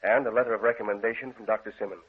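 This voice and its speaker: An actor playing a grave, controlling country gentleman, speaking formally and solemnly in an old radio drama. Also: lowish voice, fairly even intonation, rapid-fire, slightly rough. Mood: courteous, businesslike. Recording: muffled, tinny old radio recording.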